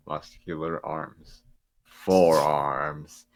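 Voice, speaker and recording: A man's voice: very deep voice, steady tone, very slow. A man narrating a table read, reading stage directions informally and stumbling over the script at times.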